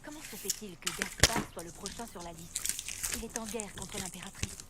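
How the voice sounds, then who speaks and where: A woman's voice: mid-range voice, moderate pace, close to an everyday voice. An actress playing a serious, inquiring woman in scripted fantasy video game dialogue. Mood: serious, resolute. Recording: very noisy game audio capture.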